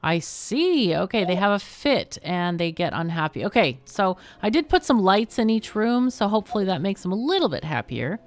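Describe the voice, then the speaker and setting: A woman's voice: mid-range voice, big pitch swings, normal speed, few pauses, no room sound. A woman hosting a livestream, chatting casually as she plays a game. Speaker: livestream host. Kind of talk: casual live game chat.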